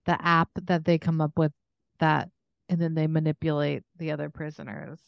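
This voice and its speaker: Low-voiced, clear woman co-hosting casual podcast. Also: little pitch movement, normal speed, dry recording, highs cut off. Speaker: podcast co-host. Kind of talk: casual comedic chat.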